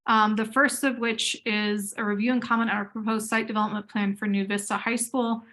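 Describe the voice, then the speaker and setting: A woman's voice: mid-range voice, little pitch movement, brisk, a bit bright. A woman chairing a public board meeting in a friendly, informal way over a video call.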